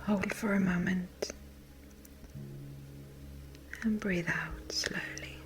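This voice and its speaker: A woman with a breathy, whispery voice gently and soothingly guides a meditation in a measured way. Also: mid-range voice, steady tone, a little hiss or hum. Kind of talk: slow guided meditation narration. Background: music.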